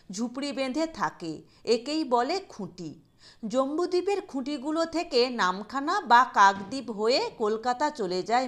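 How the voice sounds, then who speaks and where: A woman narrating a literary short story in a deliberate, expressive storytelling manner. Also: a little high.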